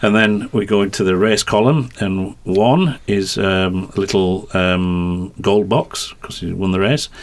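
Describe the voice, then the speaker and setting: A man's voice: low voice, fairly even intonation, unhurried, hardly any pauses. A middle-aged man with a light Yorkshire twang, a racing commentator explaining at length in a rambling, hesitant way. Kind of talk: podcast conversation.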